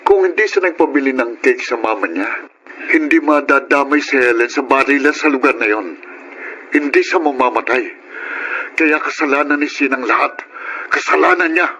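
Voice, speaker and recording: A man's voice: mid-range voice, normal speed, sharp tone, natural voice. A man playing a bitter, grieving widower and hard father, stubborn and curt, in a Tagalog radio drama. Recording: faintly noisy radio drama audio.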